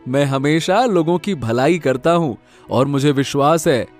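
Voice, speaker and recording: A man's voice: on the high side, measured pace, darkish tone, faint music behind, voice pushed down, natural voice. A man voicing a kind, trusting young farmer in a story, good-natured and earnest. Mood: earnest, assured.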